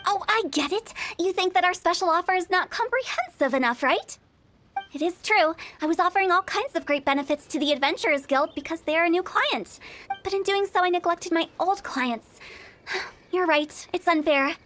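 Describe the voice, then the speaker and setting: A woman's voice: extremely high pitch, lively intonation, normal speed, fairly bright tone. A woman voicing a mischievous young female funeral parlor director in a video game, playful and teasing with spooky asides. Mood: scheming.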